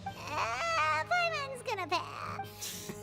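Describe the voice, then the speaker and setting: A woman's voice: very high-pitched, big pitch swings, drawn-out words, creaky, music in the background, full character voice. A woman voicing a tiny, cute, chatty fairy girl companion in a video game, with a childlike, sceptical manner. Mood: hesitant. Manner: speaks of self in third person, childlike.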